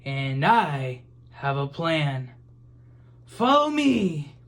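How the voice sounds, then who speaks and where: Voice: mid-range voice, big pitch swings, extremely slow, fairly bright tone, exaggerated voice. A reader voicing an adventurous, kindly grandfather inventor from a children's storybook, exclaiming and encouraging. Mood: eager.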